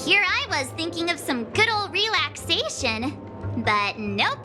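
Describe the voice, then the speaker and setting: An actress voicing a bubbly, excitable, chatty teenage girl in an anime-style video game, with animated, gushing, theatrical delivery. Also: very high voice, measured pace, full character voice. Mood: puzzled. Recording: loud music behind.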